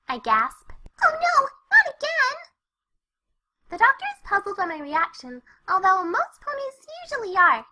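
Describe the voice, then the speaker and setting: A woman voicing a bubbly, excitable young female cartoon pony, perky and chatty as she narrates a storybook tale. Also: very high-pitched, a little slow, exaggerated voice. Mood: animated storytelling. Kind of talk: first-person storybook narration with character dialogue.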